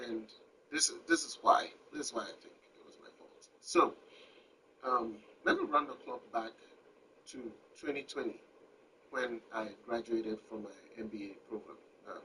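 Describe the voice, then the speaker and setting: A man's voice: mid-range voice, pushed and bright. A man in his thirties from Ghana with a Ghanaian accent, telling a personal story in a reflective, candid way. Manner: reflective, candid, with frequent um fillers. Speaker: vlogger. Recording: distant mic with faint background noise.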